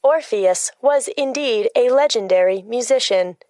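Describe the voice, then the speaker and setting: A woman playing a matter-of-fact, literal-minded oracle who gives precise, deadpan explanations in a scripted children's story podcast. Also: a little high, unhurried, no room sound, faint music behind, natural voice. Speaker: co-host giving factual asides.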